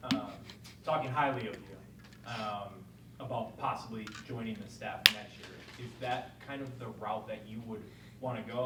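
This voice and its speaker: A man working as a reporter, asking informal, winding questions at a press conference in a hesitant way. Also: fairly low pitch. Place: press conference room, away from microphone. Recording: distant, echoey, noisy room pickup.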